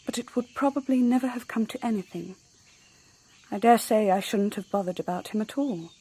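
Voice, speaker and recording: An actress playing a cool, self-possessed young woman in a radio drama, with a hushed, candid manner. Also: mid-range voice, a little fast, slightly rough, natural voice. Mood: candid, wistful. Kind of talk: intimate radio drama acting.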